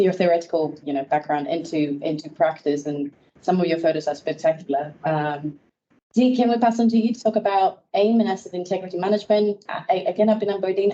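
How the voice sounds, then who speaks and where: A woman's voice: on the low side, mellow. A woman hosting a webinar informally, searching for her words as she goes. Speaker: webinar host. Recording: dull, faintly noisy call audio.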